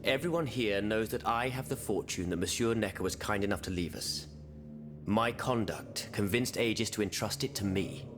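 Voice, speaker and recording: A man's voice: lowish voice, normal speed, noisy background, natural voice. An actor playing a principled, self-assured French revolutionary statesman in a game cutscene, with a formal, declamatory delivery. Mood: earnest, controlled. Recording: loud music under the voice. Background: loud music.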